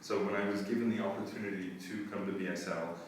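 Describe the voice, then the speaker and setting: Low-voiced man, echoey and distant. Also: fairly even intonation. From United States. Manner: earnest, measured, with frequent filler pauses. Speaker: school administrator. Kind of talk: interview answers. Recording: roomy echo, distant mic, faint noise.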